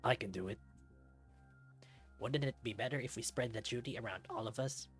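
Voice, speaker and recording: A man's voice: medium pitch, moderate pace, soft background music, natural voice. A man voicing an earnest young male superhero in a visual novel, eager and insistent in the way he talks.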